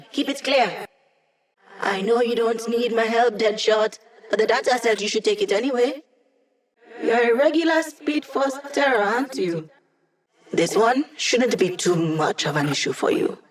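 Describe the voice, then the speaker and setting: A woman's voice: mid-range voice, close to an everyday voice. Woman acting young female hacker, video game tech support, snarky, upbeat, playful, casual wry delivery. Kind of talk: video game character voice lines.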